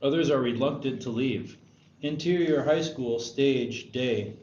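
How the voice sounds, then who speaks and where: A man's voice: medium pitch, unhurried, a little mellow. A man reading scripted stage directions as narrator at a screenplay table read. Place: roomy indoor table read. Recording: echoey room, dull sound, faint noise.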